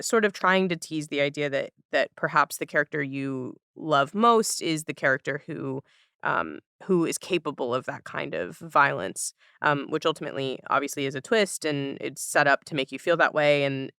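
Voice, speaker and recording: Woman hosting a chatty podcast, really smooth clear voice, no room echo, thinks out loud. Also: deep voice, clean studio sound. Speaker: podcast host. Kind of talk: casual podcast conversation.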